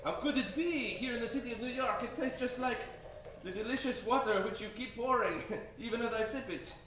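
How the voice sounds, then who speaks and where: A thirsty, effusive Frenchman, played by a man in a comic radio sponsor skit. His voice is high and theatrical. It sounds distant in a very echoey room. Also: brisk. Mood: delighted, gushing. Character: thirsty, gushing French man sampling sparkling water. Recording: echoey room, distant mic, faint noise.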